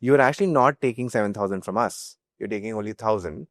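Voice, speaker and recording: A man's voice: on the low side, fast talker, dry recording, clean studio sound. A young man with an Indian accent answering as a startup founder in an interview, explaining through hypothetical examples. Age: young adult.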